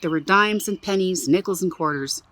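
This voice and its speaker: A woman reading a picture book aloud to children as a museum storyteller, animated and chatty, with little asides. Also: fairly low pitch, normal speed, dry recording.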